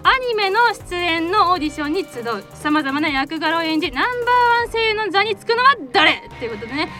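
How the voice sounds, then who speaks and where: A woman's voice: very high voice, very animated, fairly quick. A woman hosting a radio show, bantering and explaining a game to a group. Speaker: host of a radio talk and voice drama show. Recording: loud music under the voice. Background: loud music.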